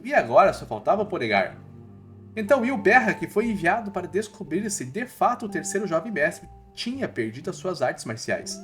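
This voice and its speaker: Animated male Brazilian storyteller over music. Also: high voice. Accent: Brazilian Portuguese. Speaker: recap channel narrator. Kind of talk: scripted story recap narration.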